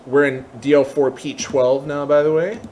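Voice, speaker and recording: A man presenting an informal software tutorial, thinking aloud and openly admitting when he is unsure of details. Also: fairly high pitch, level tone, moderate pace, slight background noise.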